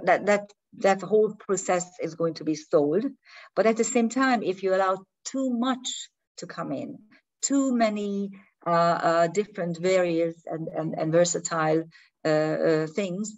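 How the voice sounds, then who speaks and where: Woman, low voice, non-native English, spiritual teacher speaking off the cuff, halting and full of restarts. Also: darkish tone. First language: not English. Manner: hesitant, restarting and searching for words. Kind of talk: unscripted talk to viewers.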